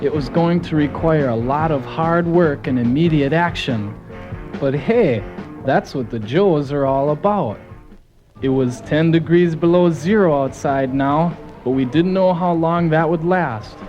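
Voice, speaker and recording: A man's voice: a little high, a little slow, lo-fi sound, lightly acted. A man playing a folksy, gung-ho soldier who narrates his team's mission in a scripted audio drama. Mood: earnest storytelling. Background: music.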